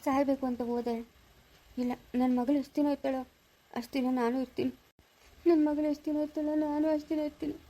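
A woman's voice: sits high, steady tone, fast talker, long pauses, mellow, rough recording. A woman voicing a remorseful, tearful father in a serial drama, lamenting plaintively and repeating the words. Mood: remorseful, despairing. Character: remorseful, weeping father who pleads for forgiveness.

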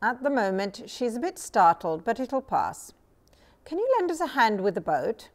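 A woman's voice: fairly high pitch, very animated, normal speed. Woman as an easygoing troll father. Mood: matter-of-fact.

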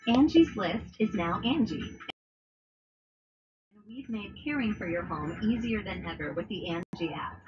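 A woman's voice: medium pitch, lively intonation, a little slow, muffled recording, background noise. Friendly woman voicing a home-services ad. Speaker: ad voice-over. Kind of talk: scripted commercial read.

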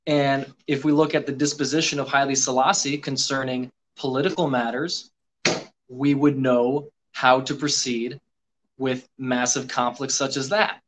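A man's voice: medium pitch, little pitch movement, a bit bright, low-quality recording. Earnest guy explaining stuff over a headset on a video call, mid voice, slight background hum. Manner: earnest, explanatory, quick with many fillers. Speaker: guest on a live video call. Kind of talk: casual discussion.

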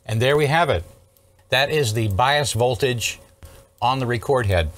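A man's voice: medium pitch, unhurried, a bit bright. Older man explaining a technical demonstration. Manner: methodical, explanatory, often checking in with "okay". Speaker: host, electronics restorer. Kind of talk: casual hands-on technical demonstration. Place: indoor workshop studio at a workbench.

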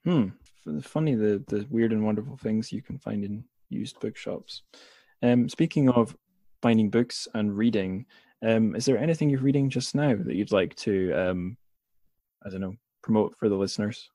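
A man with a fairly low voice hosts an interview, chatting casually as he puts questions to his guest. The sound is very dry, with no room echo. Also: moderate pace, warm tone. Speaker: podcast host, interviewer. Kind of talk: casual conversational interview hosting.

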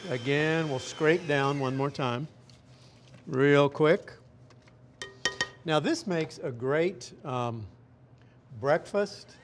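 Middle-aged male chef, slightly high voice, easygoing demo patter, lapel mic, faint dish clatter. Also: very slow, pauses often, a little hiss or hum. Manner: relaxed, chatty step-by-step explaining. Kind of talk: cooking demonstration. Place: restaurant demo kitchen, indoors.

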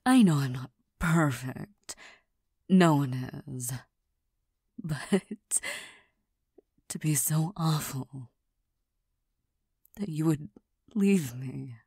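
A prickly young girlfriend who hides her tenderness, as a woman plays her in an audio roleplay. Her voice is low and very husky, and she half-whispers slowly with little stammers and shy laughs. The sound is dry and close, with no room around it. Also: mellow, dry recording, exaggerated voice. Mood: vulnerable, defensive. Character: proud, touchy young girlfriend hiding tenderness. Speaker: voice actor in a listener roleplay.

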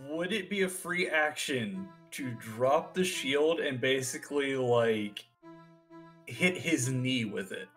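A man plays a tabletop roleplaying game, talking casually at a medium pitch. He jokes and swears as he explains his moves. Faint music sits behind him. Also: expressive, takes it slowly, a bit bright. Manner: joking, sweary. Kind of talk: casual chat while playing.

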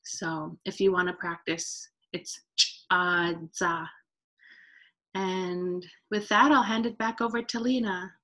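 A woman's voice: medium pitch, fairly even intonation, a little slow, few pauses, a bit bright, poor audio. A woman in her thirties speaking unscripted as the host of an online event, recorded on a video call. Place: indoor room, video call.

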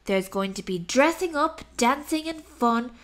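A woman narrates a rhyming picture book for children. Her voice is high and very animated, and she takes her time, leaning on key words for dramatic effect. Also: measured pace. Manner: expressive, dramatic emphasis. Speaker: storybook narrator.

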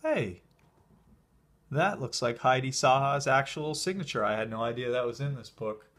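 A man casually talking through an old convention program as he leafs through it, relaxed and enthusiastic, with small fillers and pauses, recorded indoors by a camera filming his hands from above. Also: mid-range voice. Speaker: collector showing a convention program. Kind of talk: casual flip-through commentary.

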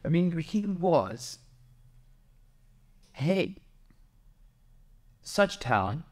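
A man's voice: on the high side, very animated, drawn-out words. A man hosting a casual, conversational interview. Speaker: interview host.

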